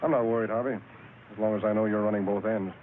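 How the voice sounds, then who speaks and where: An actor playing a tough undercover customs agent, terse, dry and hard-boiled, in old noir radio style on a thin, hissy recording. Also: male voice, fairly low pitch, steady tone, quick pace, phone-quality sound, lightly acted. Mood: dry, cool. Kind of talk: mid-century film noir radio acting.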